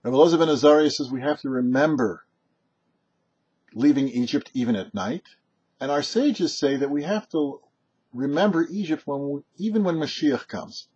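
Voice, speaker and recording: A man who is a rabbi reads a prepared religious lesson in a measured, explanatory way with emphatic pauses. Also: a little high, lively intonation, a little mellow, slightly rough, lo-fi sound. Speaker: rabbi hosting a weekly Torah teaching show. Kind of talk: prepared religious teaching, read aloud.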